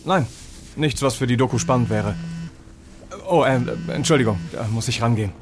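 A man's voice: mid-range voice, normal speed, music in the background, natural voice. A man playing a nervous whistleblower. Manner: nervous, hushed, evasive. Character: nervous male employee leaking secrets.

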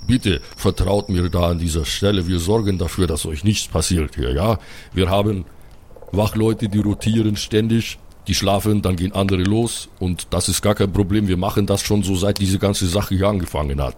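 A man playing a calm, reassuring Viking warrior in an improvised tabletop audio play, speaking in a soothing, patient way. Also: low voice, normal speed.

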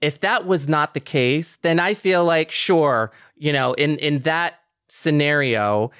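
A smooth, clear voice of middling pitch in a casual podcast conversation. The speaker is earnest and feels out each thought, with lots of "like". The recording is dry. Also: takes it slowly, fairly bright tone, no room sound.

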